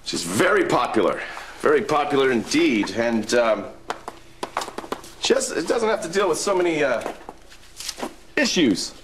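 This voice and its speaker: A man's voice: medium pitch, big pitch swings, unhurried, pauses often, a bit bright, a little hiss or hum. An actor playing an uneasy 1930s male radio executive, ingratiating and hedging, repeating himself in a period drama. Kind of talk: period television drama acting.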